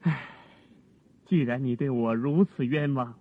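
An actor playing an earnest, modest and troubled young scholar, deferential and theatrical, in a Chinese period radio drama. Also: male voice, mid-range voice, measured pace. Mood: pleading. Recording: phone-like lo-fi radio recording.

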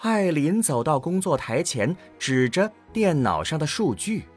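A man's voice: a little high, very animated, a little slow, slightly rough, faint music behind. A man narrating a scripted science fiction audio drama.

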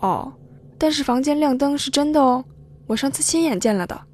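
High-voiced eager young student, acted by a woman. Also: lively intonation, brisk, a little mellow. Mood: curious, insistent. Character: curious young student, eager about ghost stories. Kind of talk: audio drama dialogue.

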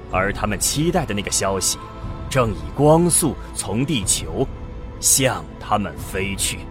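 A man reading dramatic scripted narration for a science-fiction radio drama. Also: fairly low pitch. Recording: loud music bed behind voice. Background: music.

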